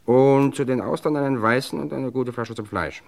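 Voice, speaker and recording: An actor playing a cold, menacing gangster boss with a suave, domineering manner, in an old German crime radio play. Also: male voice, mid-range voice, moderate pace, vocal fry, darkish tone, lightly acted. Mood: suave, relaxed.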